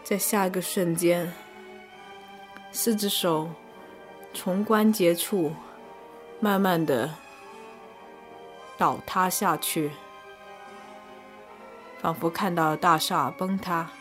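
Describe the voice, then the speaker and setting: A woman narrating a scripted first-person arts review in measured, descriptive short phrases. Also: fairly low pitch, soft background music. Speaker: podcast host and reviewer.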